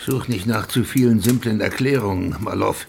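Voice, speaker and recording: An actor playing a blunt, exasperated police official in a German radio play, with a gravelly voice. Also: male voice, fairly low pitch, normal speed, darkish tone, a little hiss or hum. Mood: exasperated warning. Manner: blunt, urgent. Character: gravelly, exasperated male police official warning his friend.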